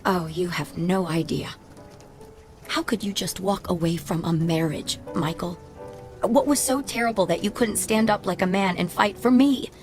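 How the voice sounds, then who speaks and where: A woman plays a no-nonsense, wounded ex-wife in a radio play, her voice on the low side. She is sarcastic and reproachful, keeping her guard up, with faint music behind her. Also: normal speed, close to an everyday voice. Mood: bitter, hurt. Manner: sardonic, clipped, reproachful. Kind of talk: radio drama acting. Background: faint background music.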